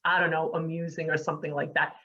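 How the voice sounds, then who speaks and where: A woman with a New York accent hosting a casual interview, chatty and encouraging and quick to laugh. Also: low-pitched, little pitch movement, bright, forceful tone. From United States. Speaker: host. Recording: muffled lo-fi audio.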